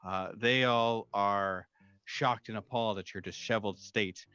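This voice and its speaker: A man narrating a tabletop game as game master, in halting, deliberate, formally worded storytelling. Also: lowish voice, fairly even intonation, bright, forceful tone, clean tone, no room sound, highs cut off.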